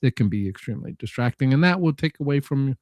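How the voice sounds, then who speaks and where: A man chatting casually as a panelist, talking in a halting, rambling way and often correcting himself. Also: mid-range voice, expressive, a little mellow, dry recording. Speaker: panelist on a live audio show. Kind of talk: casual unscripted chat.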